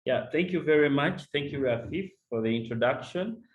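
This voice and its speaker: A man's voice: on the high side, animated, moderate pace, roomy sound, dull audio. A man from Uganda speaking earnestly as a discussant on a webinar call, often repeating words as he starts a point. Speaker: panel discussant. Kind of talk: webinar remarks. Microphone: video call.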